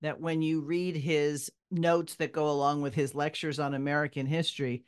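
A man's voice: fairly high pitch, level tone, normal speed, clear, resonant tone. A man interviewing a guest, asking probing follow-up questions.

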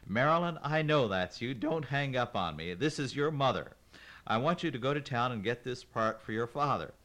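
A man's voice: fairly low pitch, animated, normal speed, a bit bright. A man voicing an elderly grandmother who is dramatic, tearful and manipulative, pleading and bargaining in a storytelling reading. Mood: wailing.

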